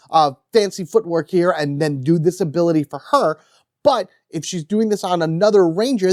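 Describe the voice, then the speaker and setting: A man's voice: on the high side, a little mellow, no echo, clean studio sound. Congested nasal man reviewing a card game. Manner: enthusiastic, chatty, reads card text aloud. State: congested with a cold. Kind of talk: casual card-by-card game breakdown.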